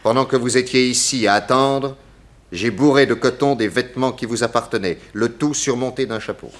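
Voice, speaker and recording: An actor playing a shrewd, authoritative detective in a 1960s French radio drama, theatrical, deliberate and accusatory. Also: male voice, medium pitch, close to an everyday voice. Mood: stern, explaining.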